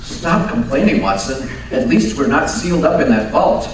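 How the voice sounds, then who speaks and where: A man playing a brisk, learned Victorian detective in a live old-time radio drama, precise, commanding and drily teasing. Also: mid-range voice, big pitch swings, natural voice. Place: live stage performance at radio club event. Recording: very echoey hall, distant mic, faint noise.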